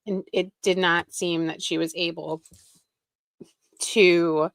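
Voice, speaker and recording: A woman's voice: lowish voice, flat delivery, slow, vocal fry, no room sound. A woman giving casual, wry reaction commentary on a video, with critical asides. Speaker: reaction streamer.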